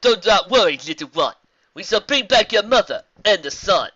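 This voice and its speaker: Woman voicing a wise, deliberate zebra sorceress. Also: mid-range voice, lively intonation, fairly bright tone, slightly rough, no room sound, highs cut off, voice pushed up. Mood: reassuring. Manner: deliberate, formal rhymed phrasing. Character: wise calm zebra sorceress speaking in rhyme.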